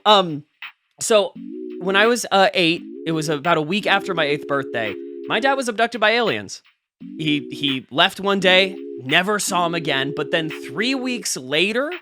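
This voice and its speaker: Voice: medium pitch, bright, forceful tone, no room sound. An actor playing an earnest, opinionated conspiracy-theorist host who rambles casually and emphatically through an audio-drama video monologue. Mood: rambling, earnest. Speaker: host of a fringe-topics show.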